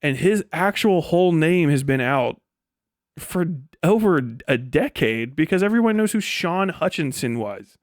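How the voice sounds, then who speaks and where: A man's voice: a little high, lively intonation, measured pace, dry recording, clean studio sound. A man hosting a livestream with casual, unscripted commentary, talking bluntly and opinionatedly with heavy swearing as he responds to chat. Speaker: livestream host reading and answering chat.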